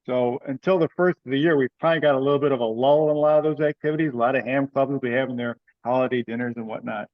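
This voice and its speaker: A man's voice: mid-range voice, fairly even intonation, fast talker, few pauses, no echo. A man speaking informally and matter-of-factly as he organizes things in a meeting. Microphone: group teleconference call. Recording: dry, dull lo-fi conference call audio.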